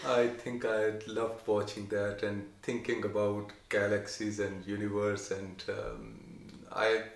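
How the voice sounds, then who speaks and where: A young adult man from Pakistan, answering his wife's questions in a soft, hesitant way with many pauses. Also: fairly low pitch, little pitch movement, vocal fry. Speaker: interviewee. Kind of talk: casual chat. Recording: echoey room.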